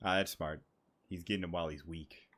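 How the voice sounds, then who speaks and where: A man's voice: low-pitched, fairly even intonation, fairly quick, fairly bright tone, slight background noise. Slangy, irreverent man commentating on a game. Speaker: gaming content creator. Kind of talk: casual playthrough commentary.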